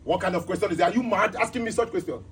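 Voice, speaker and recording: A man playing a fast-talking angry driver. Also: high-pitched, a bit bright, a little hiss or hum. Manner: rapid, agitated, threatening. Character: confused, angry young driver with a girlfriend.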